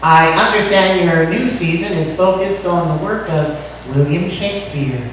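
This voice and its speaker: A woman playing a self-important male talk show host obsessed with birds, pompous and deliberate, in old-time radio comedy style. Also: on the low side, close to an everyday voice. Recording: echoey room, distant mic, faint noise.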